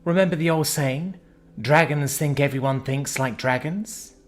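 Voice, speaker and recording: A man's voice: on the high side, unhurried, voice pushed up, lightly acted. A man voicing a brash young woman who teases her friend and talks bluntly. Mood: teasing. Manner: chatty, mocking, blunt.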